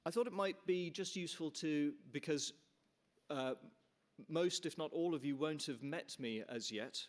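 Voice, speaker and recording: A man's voice: a little high, normal speed. Canadian-born man giving an earnest welcome speech. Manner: earnest, with frequent restarts and fillers. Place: large conference hall.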